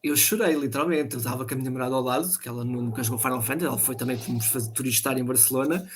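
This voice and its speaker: A man with a European Portuguese accent chatting about video games, enthusiastic and joking, speaking in quick bursts. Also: medium pitch, a little hiss or hum. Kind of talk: casual gaming chat.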